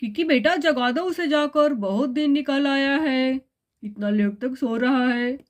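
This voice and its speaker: A woman voicing a kindly, gently chiding father in a children's cartoon story. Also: high voice, measured pace.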